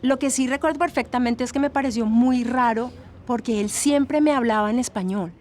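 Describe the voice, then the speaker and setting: Woman acting a highish-voiced, earnest young student. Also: moderate pace, a little mellow, a little hiss or hum, lightly acted. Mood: troubled, puzzled. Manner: conversational, earnest. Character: troubled young female student witness, sympathetic. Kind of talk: casual acted documentary testimony.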